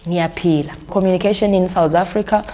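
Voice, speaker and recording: Woman in her 30s, slightly low pitch, chatty interview guest who circles back and repeats herself as she tells her story. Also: a little fast, soft background music. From Burundi. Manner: warm storytelling with frequent restarts and repeated words. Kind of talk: casual conversational interview.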